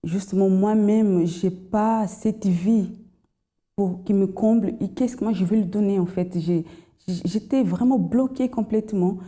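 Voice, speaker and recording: A young woman with a slight foreign accent and another first language, giving a hesitant personal testimony full of pauses and restarts. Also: mid-range voice, warm tone. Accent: slight foreign accent in French. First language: not French. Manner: hesitant, many fillers and restarts. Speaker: testimony guest.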